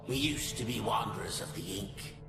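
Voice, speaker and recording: An actor playing a doomed wandering spirit in a fantasy game, gravelly, grim and theatrical, warning trespassers. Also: male voice, medium pitch, expressive, normal speed, echoey room, over music, natural voice. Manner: ominous, theatrical. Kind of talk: dramatic fantasy video game acting.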